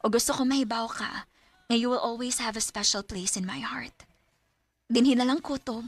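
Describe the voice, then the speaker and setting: A woman's voice: on the high side, normal speed, dry recording. A woman with a Filipino Bisaya accent playing a generous, lovestruck young woman in a radio drama, with earnest, emotional delivery. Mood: sad, reflective.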